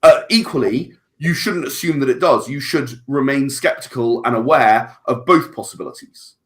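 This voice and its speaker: A man's voice: medium pitch, moderate pace. A man, a podcast guest talking casually and thoughtfully, often restarting his words. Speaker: guest filmmaker.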